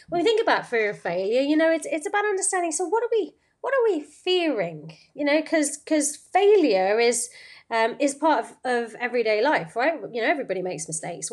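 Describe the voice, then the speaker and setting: A woman hosting a podcast, talking through a motivational story in an unscripted, rambling way full of fillers and restarts. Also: a little high. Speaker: podcast host.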